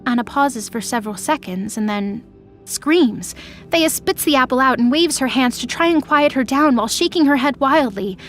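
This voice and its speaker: A woman's voice: high voice, animated, normal speed, soft background music. A woman reading scripted dramatic narration for an audio story.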